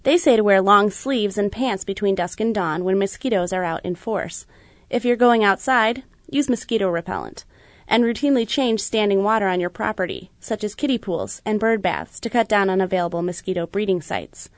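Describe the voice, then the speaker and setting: Woman, radio news reporter, scripted narration, measured, middle pitch, dry studio sound. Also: no echo. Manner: measured, even reporting delivery.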